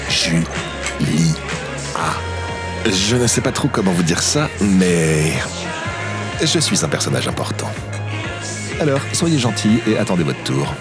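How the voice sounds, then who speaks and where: A man's voice: low-pitched, big pitch swings, a little slow, long pauses. Music plays behind a man acting a vain, oblivious former president. His voice swoops widely, theatrical and unctuous, as befits a smug charmer in an audio comedy. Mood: pompous. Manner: theatrical, unctuous, hesitant hums.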